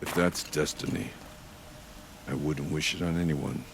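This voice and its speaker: Game warrior chief, solemn, deliberate, rumbling very low, faint background noise. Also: male voice, steady tone. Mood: solemn, grieving. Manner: grave, deliberate, formal phrasing. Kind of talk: scripted video game cutscene acting.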